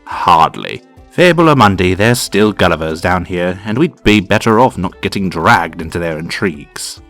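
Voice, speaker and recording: A man's voice: low-pitched, expressive, normal speed, fairly bright tone, faint music behind. A man playing a proper, grumbling, tiny Lilliputian police sergeant in a comic dub. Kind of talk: comic dub character acting.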